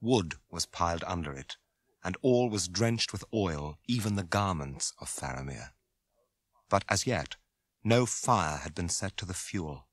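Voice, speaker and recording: A man narrating a radio drama from a script in a measured, sombre manner. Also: low voice, lively intonation, dry recording.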